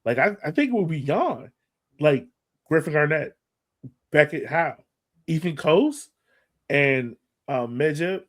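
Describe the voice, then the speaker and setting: A man's voice: on the high side, expressive, measured pace, long pauses. A man co-hosting a sports podcast in casual fan chat, talking emphatically and repeating phrases to drive points home.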